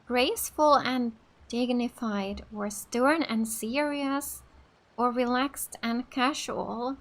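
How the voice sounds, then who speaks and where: A woman's voice: fairly high pitch, slow, fairly bright tone. A woman from Finland doing casual let's play commentary, reacting and reading choices aloud.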